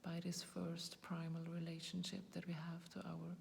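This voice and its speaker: Host, woman, 40s, non-native English, flat gravelly delivery, soft faint noise behind, reflective and searching for words. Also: lowish voice, normal speed. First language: not English. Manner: reflective, searching for words, often trails into a whisper. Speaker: YouTube channel host. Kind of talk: personal talk to camera.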